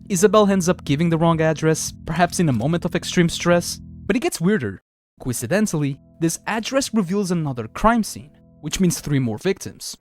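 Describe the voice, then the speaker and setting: A man's voice: on the high side, a little mellow, no room sound. A man narrating a scripted video review of a horror series as its host.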